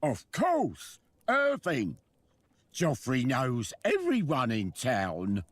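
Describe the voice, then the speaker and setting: A man's voice: medium pitch, huge pitch swings, drawn-out words, dry recording, exaggerated voice. An actor playing a helpful, simple-minded townsman in an adventure game, with an obliging, guileless manner. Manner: obliging, simple, third-person self-reference.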